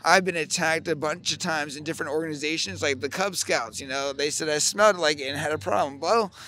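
An American man hosts a relaxed-format interview outdoors. His voice is a touch higher than average, and he chats informally into a handheld mic. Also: fairly quick. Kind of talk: casual chat. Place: outdoors on a festival lawn. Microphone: handheld microphone, face covered by cloth.

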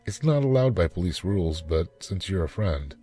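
A man's voice: deep voice, animated, normal speed, dark tone, breathy edge, soft background music. A man voicing a blunt, casual police detective in a story reading. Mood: polite. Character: gruff, casual male police detectives talking shop.

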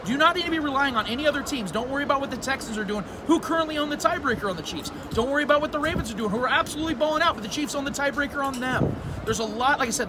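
A man giving opinionated postgame fan analysis outdoors in a stadium at night, emphatic and forceful. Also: extremely high pitch. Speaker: guest podcaster. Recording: loud outdoor stadium noise.

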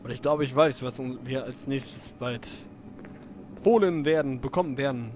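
A man talks his way through a video game in a loose, chatty style, cracking small jokes and coaxing the on-screen characters along. His voice sits at an ordinary middle pitch. Game music plays clearly behind him. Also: moderate pace. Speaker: let's play commentator. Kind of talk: casual gameplay commentary.

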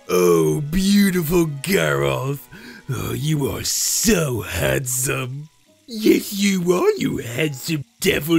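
A man voicing a vain, earnest, theatrical young man with a husky voice in an animated roleplay. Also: on the high side, heavily acted. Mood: smug, playful. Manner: melodramatic, self-admiring. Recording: background music under dialogue.

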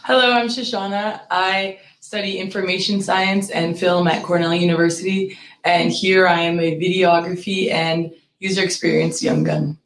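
A woman's voice: on the low side, little pitch movement, normal speed. A young woman from the United States, a university student intern, talking earnestly with many fillers. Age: 20s. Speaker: videography intern. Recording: echoey room, faint ambience.

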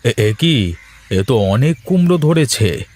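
Casting: unhurried village husband played by a man, mid-pitched, lazy, grumbly and sly, for cartoon folk tales. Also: very animated, darkish tone. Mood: planning. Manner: drawling, grumbling, interjection-heavy. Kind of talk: Bengali folk-tale cartoon dubbing.

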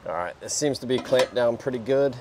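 A young man hosting a casual workshop vlog, talking in a relaxed way as he works at a sawmill under an open-sided shed. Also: mid-range voice, fairly quick, a little hiss or hum. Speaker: sawmill channel host.

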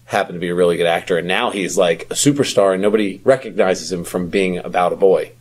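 Low, husky-voiced man chatting. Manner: chatty, quick to laugh. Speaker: podcast co-host. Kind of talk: casual chat about films.

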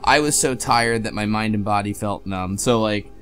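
A man chatting casually as a podcast co-host, retelling a game's story in a halting, hesitant way. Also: lowish voice, fairly bright tone, soft background music. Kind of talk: casual chat, recapping a game story.

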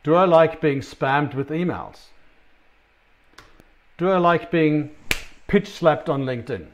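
A man's voice: fairly high pitch, animated, slow pace, muffled recording. A middle-aged man with an Australian accent presenting a recorded conference talk from his office, chatty and full of ums and restarts. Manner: conversational, many ums and restarts. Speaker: sales consultant presenter.

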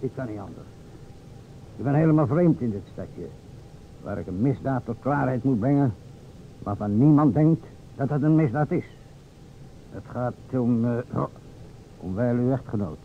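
An actor playing an earnest, insistent police inspector in an old radio play, formal and deliberate with hesitations. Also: male voice, medium pitch, lively intonation, close to an everyday voice. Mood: apologetic, solemn. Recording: tinny, noisy old radio recording.

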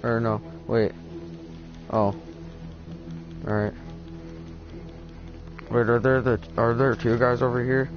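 A deep-voiced teenage boy chatting casually with a friend while playing a game, laid-back and swearing a lot. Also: level tone, takes it slowly, long pauses, highs cut off, over music. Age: teen. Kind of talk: casual gaming chat.

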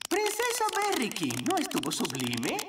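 A woman's voice: a little high, huge pitch swings, normal speed, loud music behind the voice. A woman voicing a tiny berry creature who hosts a cartoon talent show in a showy, jokey manner. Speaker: master of ceremonies. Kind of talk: animated cartoon stage announcing. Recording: roomy, distant, very noisy stage sound.

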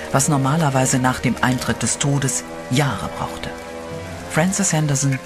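A man narrating a German radio horror play in a steady, dramatic storytelling voice. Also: medium pitch.

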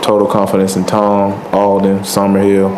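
Laid-back young man, low flat voice. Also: normal speed. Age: young adult. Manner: laid-back, soft-spoken, many fillers. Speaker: college football player. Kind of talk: postgame press conference answers. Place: press conference room. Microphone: table microphone. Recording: noisy press room audio.

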